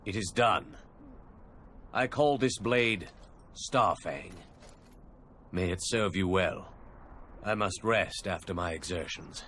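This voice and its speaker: A solemn dwarf smith. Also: male voice, deep voice, fairly bright tone, a little hiss or hum. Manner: grave, formal, measured. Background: game music.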